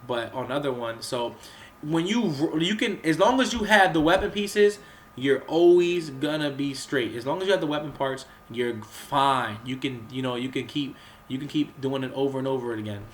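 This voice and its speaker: A man who is under the weather talks through a video game in a loose, rambling way, coughing and clearing his throat between phrases. His voice has an average pitch and sounds a little off from his cold. A faint hiss of noise sits under the recording. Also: normal speed. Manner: rambling, with many fillers and restarts. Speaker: gaming channel host. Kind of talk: casual gameplay walkthrough commentary.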